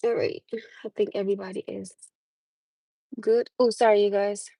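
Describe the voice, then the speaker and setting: A woman's voice: medium pitch, little pitch movement, moderate pace, creaky, mellow, dry recording. A woman from the United States chatting live with her viewers from her parked car on a phone camera, laughing often and calling them "my love.". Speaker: livestream host. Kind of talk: casual live chat with viewers.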